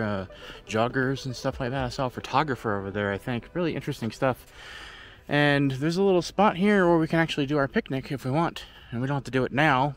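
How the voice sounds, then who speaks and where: A young man narrating a casual hiking vlog outdoors, chatty and joking, filmed on a camera at arm's length. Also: medium pitch. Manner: chatty, joking, relaxed commentary. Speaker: travel vlogger. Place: outdoors on a forest trail. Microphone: action camera at arm's length.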